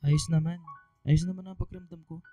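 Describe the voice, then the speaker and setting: A man playing an ailing, reassuring Filipino father in a radio drama, gentle and fond of teasing. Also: a little high, little pitch movement, fairly quick, soft background music, lightly acted.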